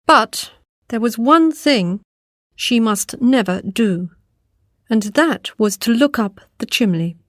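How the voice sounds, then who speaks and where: A woman's voice: on the high side, lively intonation, slow, fairly bright tone, dry recording, studio quality. A woman narrating a scripted fairy tale as a storyteller.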